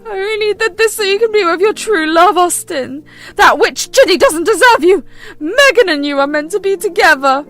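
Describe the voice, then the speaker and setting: A woman plays a scheming mother-in-law who whines and demands. Her voice is very high and shrill, with faint music behind. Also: lively intonation, moderate pace, fairly bright tone, no echo. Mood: indignant. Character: manipulative mother-in-law, shrill and self-pitying.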